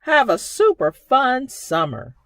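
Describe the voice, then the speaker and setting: Expressive woman reading for preschoolers, unhurried. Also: high voice, no echo. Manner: simple, expressive phrasing aimed at young children, with questions. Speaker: children's librarian and storytime host. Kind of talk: preschool storytime reading and narration.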